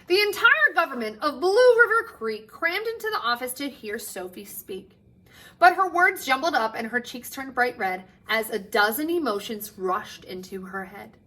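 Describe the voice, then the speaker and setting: A woman teacher reading a picture book aloud to children with expressive delivery, dramatic pauses and drawn-out phrase endings. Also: fairly high pitch.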